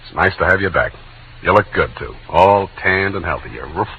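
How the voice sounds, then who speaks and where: An actor playing a wisecracking, sardonic hard-boiled private detective in a 1940s radio style, talking in wry, clipped slang. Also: male voice, deep voice, animated, moderate pace, vocal fry, narrow, tinny audio, slight background noise. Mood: soothing. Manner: slangy, wry, clipped tough-guy talk. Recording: old radio drama recording.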